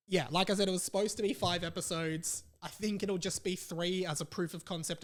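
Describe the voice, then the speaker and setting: A man's voice: on the high side, a bit bright. A young adult man with an Australian accent, chatting casually as a solo podcast host in an informal, self-deprecating way.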